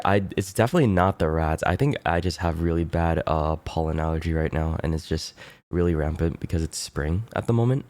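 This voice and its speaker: A male streamer chatting casually with viewers, sounding stuffy and congested, rambling and swearing in a laid-back way while clearing his throat often. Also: low voice, darkish tone, dry recording.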